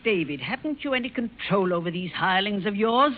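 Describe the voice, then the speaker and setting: A woman's voice: medium pitch, lively intonation, lightly acted. Woman acting dry bossy older auntie, mid voice, old radio play, kinda muffled. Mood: acerbic. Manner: dry, tart, deliberate. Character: dry, protective, sharp-tongued older aunt. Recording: dull vintage radio broadcast recording.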